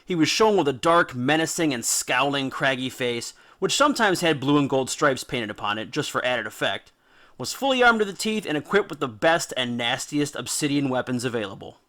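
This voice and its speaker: A man's voice: mid-range voice, sing-song, normal speed, bright, forceful tone. A man giving a scripted, informal educational narration, telling stories in a dramatic, jokey and slangy way with punchy emphasis. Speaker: narrator and host of a mythology video series.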